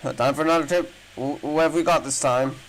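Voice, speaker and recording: A man's voice: on the high side, fast talker, poor audio. Man musing through game commentary. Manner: musing aloud, questioning. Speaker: gaming streamer. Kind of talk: casual playthrough reaction commentary.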